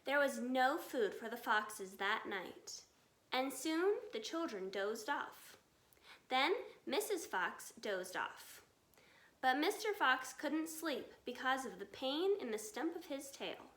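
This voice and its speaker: A woman narrating a children's story aloud in an expressive reading style. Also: high-pitched, slow pace. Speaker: storybook reader. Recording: echoey, reverberant room.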